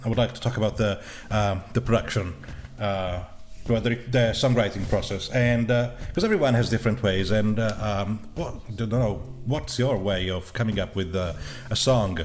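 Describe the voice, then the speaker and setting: A man hosting an interview, enthusiastic but halting, with many uh fillers. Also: lowish voice. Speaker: interview host. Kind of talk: casual interview chat.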